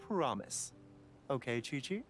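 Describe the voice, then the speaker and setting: Gentle male doctor, game character, lively intonation, soothing, patient, polite, faint music. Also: mid-range voice, a little slow. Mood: reassuring. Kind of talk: video game voice acting.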